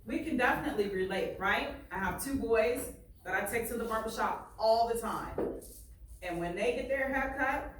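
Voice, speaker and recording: A woman teacher reading aloud and questioning her class in a deliberate way, repeating phrases for emphasis. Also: on the high side. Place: indoor classroom. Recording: echoey room, far from mic, faint noise.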